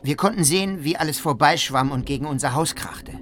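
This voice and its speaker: Ein Schauspieler spielt einen Ehemann, der in einem dramatischen Monolog eindringlich und entschlossen von seiner Flucht vor einer Flut erzählt. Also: male voice, fairly high pitch, fairly quick, fairly bright tone, slight background noise, lightly acted. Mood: agitated, insistent. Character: married man recounting a flood escape, urgent and determined. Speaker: radio drama actor. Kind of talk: dramatic first-person survivor monologue.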